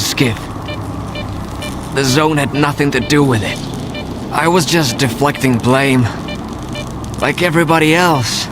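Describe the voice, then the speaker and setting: An actor playing a weary, fatalistic male guide in a video game cutscene, speaking in a deliberate, reflective way. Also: a little high, natural voice.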